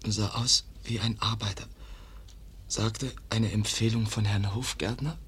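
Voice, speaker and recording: A man's voice: on the low side, steady tone, a little slow, a bit bright, slightly rough, full character voice. An actor playing a stabbed young man in a radio play, weak and whispering in broken, clipped sentences. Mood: weak, faltering. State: weak from a stab wound, breathy whisper. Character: wounded young man Kaspar, weak, halting.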